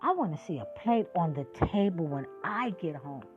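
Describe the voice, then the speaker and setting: Passionate sixty-year-old woman, lively pitch. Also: normal speed, narrow, tinny audio, soft background music. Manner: emphatic, preachy, lively rises and drops. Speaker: podcast host. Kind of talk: passionate monologue.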